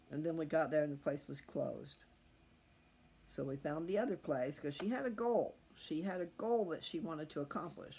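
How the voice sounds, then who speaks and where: Folksy man with a gravelly creak. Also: fairly high pitch, darkish tone. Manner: folksy, meandering, thinking aloud. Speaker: host of a scripture reading channel. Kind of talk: rambling casual chat to viewers. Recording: faint background noise.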